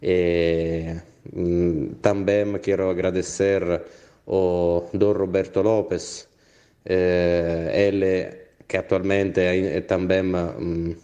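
Halting deep-voiced non-native speaker. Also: male voice, little pitch movement, dark tone, clear, resonant tone, highs cut off. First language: not Portuguese. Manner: halting, with many hesitations. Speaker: postulator of a canonization cause.

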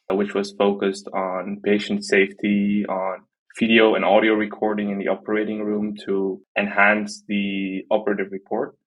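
Husky, low-voiced young man. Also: poor audio. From Netherlands. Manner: self-deprecating, jokey. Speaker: interview guest, art platform team member. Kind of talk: casual podcast conversation.

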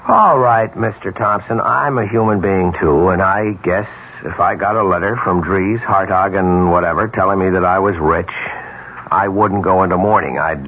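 An actor playing an honest, plainspoken family man in his forties in a 1970s radio drama, halting and incredulous. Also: sits low. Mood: reluctant, candid. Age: 40s. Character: honest, hesitant 43-year-old family man, morally indignant. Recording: dull, noisy 1970s radio broadcast.

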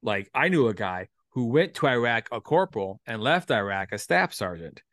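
Male, thirties, mid-pitched, reflective and halting casual talker with salty language, dry recording. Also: big pitch swings, fairly bright tone, no echo. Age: 30s. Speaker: writer and performer, military veteran. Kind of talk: casual creative discussion.

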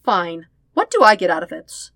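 A woman voicing a proud, sharp, teasing younger sister, a princess, in a fan fiction audiobook. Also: fairly low pitch, very animated, moderate pace, no room sound, clean studio sound. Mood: grudging, dry.

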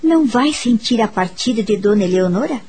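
An actress with a Brazilian accent playing a gentle, grateful young woman in a radio soap opera, earnest and sentimental. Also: a little high, lively intonation, brisk, husky. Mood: grateful, wistful. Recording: background music under the voice.

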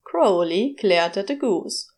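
A woman's voice: mid-range voice, lively intonation, takes it slowly, a little mellow. A woman reading a story aloud as scripted narration. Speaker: podfic reader.

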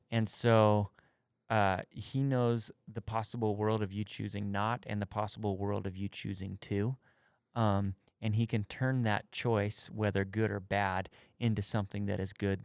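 Gravelly, smooth-voiced man in his thirties. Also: on the low side, a little mellow, no echo, clean studio sound. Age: 30s. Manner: thoughtful, candid, searching for words. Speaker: podcast co-host answering faith questions. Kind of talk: casual conversation.

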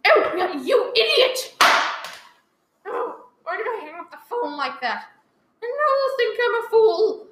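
A woman playing a nervous, demanding bedridden wife in an old-time radio thriller, agitated and stammering with shrill complaints. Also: big pitch swings, a little slow, heavy background noise, exaggerated voice. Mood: distraught. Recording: roomy, echoing live recording.

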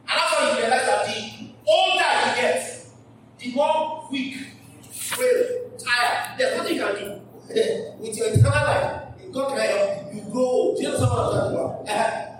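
A man preaching a church sermon, emphatic and repeating phrases as he asks the congregation questions. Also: very high-pitched. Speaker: pastor. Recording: distant mic in an echoey hall with background noise.

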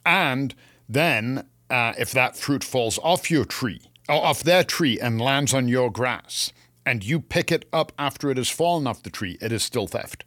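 A man's voice: medium pitch, animated, a little slow, fairly bright tone, no echo, studio quality. A man from England co-hosting a gardening show, chatting casually and opinionatedly, telling stories with acted-out quotes.

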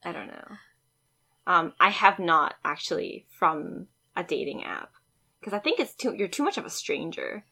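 A woman in her twenties co-hosting a casual chat, telling animated dating stories with lots of giggling. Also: on the low side, moderate pace, creaky. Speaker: podcast co-host.

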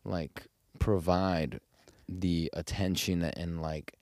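Young man, low voice, slangy animated storyteller, dry sound. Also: takes it slowly, dry recording. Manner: slangy, animated storytelling, jumps into high mock voices when quoting. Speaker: podcast host. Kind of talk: casual podcast chat.

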